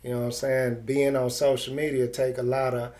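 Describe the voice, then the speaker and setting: A middle-aged man with a dark, relaxed voice at an ordinary pitch. He talks like an interviewer chatting with an old acquaintance, informal and full of slang and swearing. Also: a little fast. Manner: informal, slang-heavy, profane. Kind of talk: casual street interview chat.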